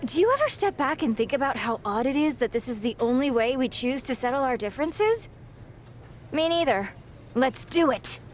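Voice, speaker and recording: A woman playing an earnest, dramatic young wrestler friend in a game cutscene, expressive throughout. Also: sits high, moderate pace, slight background noise. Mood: wry. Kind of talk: video game cutscene acting.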